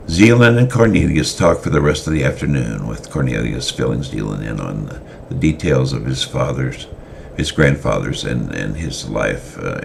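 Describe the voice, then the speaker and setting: A very deep, gravelly male voice reads a story aloud in a halting way and often restarts his sentences. A faint hiss sits behind him. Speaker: storyteller reading his own tale. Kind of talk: semi-dramatic reading.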